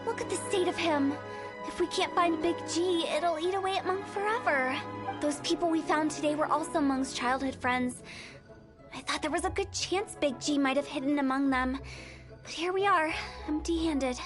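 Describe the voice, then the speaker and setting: A woman voicing a self-assured young female exorcist in a video game. Her voice is high, her delivery brisk, breezy and theatrical, with music clearly playing behind her. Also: lightly acted. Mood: explanatory, assured.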